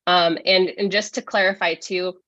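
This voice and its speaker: A congested woman getting over a cold, explaining procedure at a student government meeting in a measured way with many fillers. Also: lowish voice, flat delivery, fairly bright tone, no room sound.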